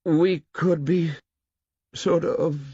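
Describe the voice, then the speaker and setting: A man voicing a shy, timid young schoolboy who speaks hesitantly and haltingly in an audiobook. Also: fairly high pitch, steady tone, mellow, breathy edge, dry recording, voice pushed up, full character voice. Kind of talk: audiobook character voice. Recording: dull, dry recording.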